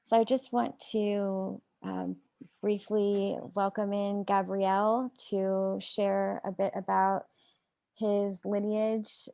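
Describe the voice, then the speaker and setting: A woman leads a ceremony in a measured, solemn voice with heavy vocal fry. Her delivery stays flat and even, and the sound is close and dry. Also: mid-range voice, dry recording. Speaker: ceremony facilitator. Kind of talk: reading an invocation, then introducing a guest.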